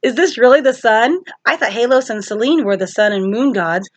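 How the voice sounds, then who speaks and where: A woman voicing a young boy in a storybook, an eager little brother with an excitable, questioning manner. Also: a little high, moderate pace, no room sound, close to an everyday voice. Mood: excited.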